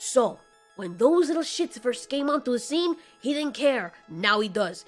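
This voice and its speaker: A woman's voice: fairly high pitch, very animated, unhurried, soft background music. A woman voicing a cold male fire demon kaiju in an audio story, blunt, sardonic and slangy. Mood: forceful, cold.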